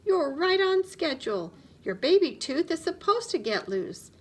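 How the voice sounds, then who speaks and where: A woman's voice: sits high, sing-song, a little slow. A woman voicing a female beaver dentist in a children's storybook, encouraging and reassuring as she explains things. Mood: bright, reassuring. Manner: encouraging, instructive.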